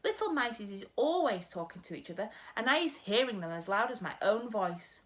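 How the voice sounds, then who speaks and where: Gentle male giant with odd mangled grammar, earnest and kindly, lively rising and falling tone, as a woman reads him in a storybook. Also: mid-range voice, a little fast, lightly acted. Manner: quirky broken grammar, warm earnest storytelling.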